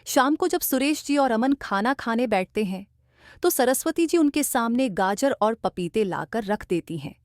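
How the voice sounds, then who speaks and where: A woman's voice: on the high side, animated, normal speed, no echo, studio quality. A woman narrating a scripted cartoon bedtime story as a storyteller.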